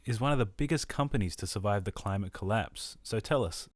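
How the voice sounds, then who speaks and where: A man's voice: on the low side, brisk, no echo, studio quality, lightly acted. A man playing an earnest amateur radio host and Earth enthusiast, presenting casually in an audio drama.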